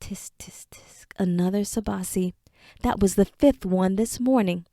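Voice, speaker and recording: A woman voicing a brooding male creature who mutters to himself in a low, hushed voice over his brew. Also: normal speed, no echo, clean studio sound, natural voice. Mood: muttering.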